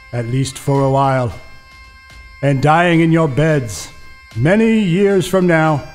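A heroic warrior leader, acted by a man as a sock puppet delivers a grand battle speech in a mock-epic style. He declaims slowly with drawn-out, emphatic phrases over background music. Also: fairly high pitch, a little mellow. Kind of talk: dramatic battle speech parody.

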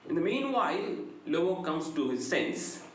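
A middle-aged man with a South Indian accent giving an explanatory lecture in a deliberate, emphatic, sing-song delivery. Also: fairly high pitch, big pitch swings. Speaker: English lecturer. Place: small indoor room. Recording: very echoey room, faint noise.

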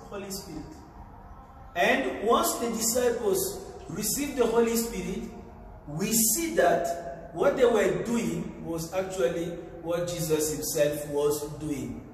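Slow-preaching priest in an echoey chapel. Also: male voice, sits high, recorded from a distance, slight background noise. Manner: slow, deliberate preaching with frequent pauses and fillers. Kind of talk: homily. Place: large tiled chapel, indoors. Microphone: far camera.

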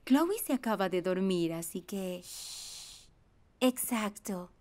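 A woman voicing a kind young mother in a children's cartoon, gentle and expressive. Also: mid-range voice, slow. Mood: hushing. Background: music.

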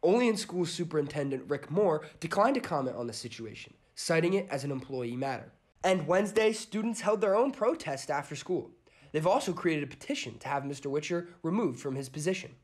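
A man's voice: fairly high pitch, expressive, a little fast. A young adult man with an American accent reading scripted narration as a student TV news reporter.

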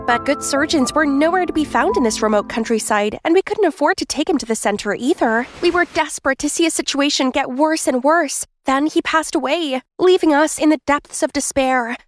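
A woman playing a precocious, bookish girl who recounts her childhood in a scripted animated story. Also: sits high, moderate pace, few pauses, soft background music. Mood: sorrowful.

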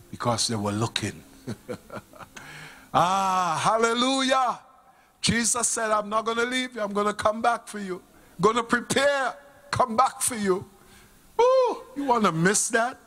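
A middle-aged man with a Vincentian accent preaching a sermon in a church, speaking into a handheld microphone, swinging from hushed appeals to loud exclamations. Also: high-pitched. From Saint Vincent and the Grenadines. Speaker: pastor. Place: church sanctuary stage.

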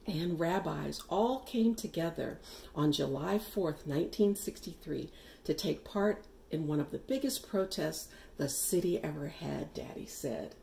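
Slow, expressive woman reading a storybook. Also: low voice, gravelly, slight background noise. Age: middle-aged. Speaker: read-aloud storyteller. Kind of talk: expressive children's picture book reading.

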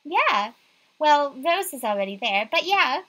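A spirited young visual novel heroine, acted by a woman, higher and louder than plain narration. The voice is bright and quick to bristle. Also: very animated, exaggerated voice. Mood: upbeat. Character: spirited young woman estate agent, easily riled. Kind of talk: visual novel character voicing.

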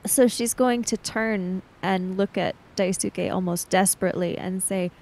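Slow-spoken woman narrating roleplay. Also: medium pitch, darkish tone. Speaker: tabletop game player. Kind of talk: improvised third-person roleplay narration.

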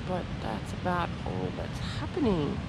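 A woman's voice: fairly low pitch, sing-song, a little slow, gravelly. A woman in her forties with an Australian accent, chatting casually to a handheld camera outdoors and gushing over the scenery. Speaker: travel vlogger. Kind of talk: casual vlog narration. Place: outdoors on a beach and in a car. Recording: outdoor noise behind the voice.

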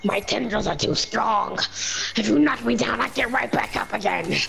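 A woman playing a bloodthirsty, distrustful female vampire with a creaky, breathy rasp in an amateur game roleplay film. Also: fairly high pitch, normal speed, a bit bright, soft background music. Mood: defiant, menacing.

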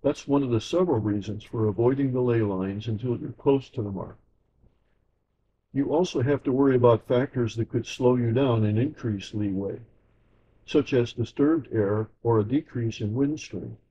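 A man giving a scripted sailing lesson as slideshow narration, in an even, measured explanatory way. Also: medium pitch, highs cut off, a little hiss or hum. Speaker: sailing instructor.

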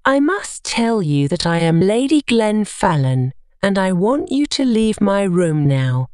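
A woman's voice: medium pitch, very animated, a little slow, darkish tone, clear, resonant tone, dry recording, clean studio sound. A woman voicing an anxious young wife in a story, earnest, questioning and pleading. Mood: indignant.

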